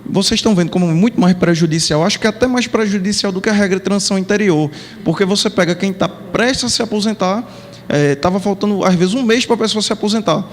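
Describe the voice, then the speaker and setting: A man's voice: sits high, quick pace. A man speaking on a panel, explaining rules step by step with worked examples, in a Brazilian Portuguese accent. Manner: explanatory, works through numeric examples. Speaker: panel speaker on pension law. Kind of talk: lecture at a panel. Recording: long hall reverb.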